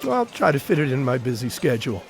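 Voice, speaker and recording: A man's voice: mid-range voice, very animated, fast, creaky, faint music behind. A man acting in an audio drama as a breezy, broke beach sketch artist with wry, casual banter. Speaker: actor.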